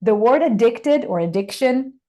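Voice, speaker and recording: A woman's voice: a little high, animated, unhurried, mellow. A woman from Israel whose native language is Hebrew, explaining ideas as an interview guest in an emphatic way, repeating phrases to drive them home. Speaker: guest, success and mindset coach.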